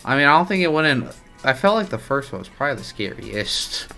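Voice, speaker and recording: A man's voice: mid-range voice, sing-song, a little fast, gravelly, bright, forceful tone, faint music behind. A man hosting a reaction video, chatting in a casual, informal and joking way.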